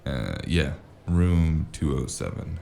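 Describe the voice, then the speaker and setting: Very deep, gravelly noir detective played by a man. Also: takes it slowly, soft background music, full character voice. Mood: dry, laconic. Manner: laconic, deadpan. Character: weary wounded noir detective, gruff, dry-witted, narrating his case. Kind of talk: noir audio drama narration and dialogue.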